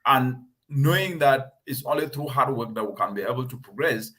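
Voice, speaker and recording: A man hosting a talk show, speaking in an earnest, emphatic and measured way. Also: mid-range voice, animated, a bit bright, rough recording. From Cameroon. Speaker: host.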